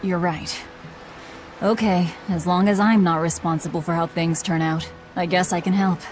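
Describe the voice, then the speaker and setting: A cold young woman on the run, performed by an actress speaks in a lowish voice. The delivery is blunt and curt game drama, with faint music underneath. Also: fairly even intonation, moderate pace, close to an everyday voice. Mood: guarded. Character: cold, blunt young female fugitive avenger.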